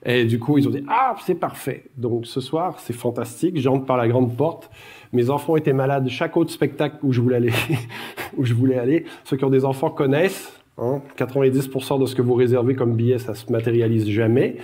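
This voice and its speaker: A man's voice: mid-range voice, brisk, warm tone. A middle-aged man with a Québécois accent, giving a casual, self-deprecating public lecture with many fillers. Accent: Québécois French. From Quebec, Canada. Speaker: guest lecturer.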